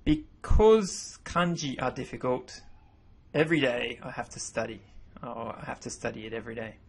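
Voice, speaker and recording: Male voice-over teacher, native English speaker, husky, casual and self-correcting. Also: mid-range voice, moderate pace. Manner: relaxed, self-correcting explanations. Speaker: language teacher. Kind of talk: narrated slideshow lesson.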